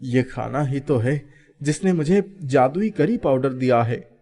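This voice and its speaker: A man's voice: medium pitch, lively intonation, a little slow, mellow. A man voicing a kind-hearted restaurant owner in theatrical, earnest storybook dialogue. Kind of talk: animated storybook dialogue in Hindi.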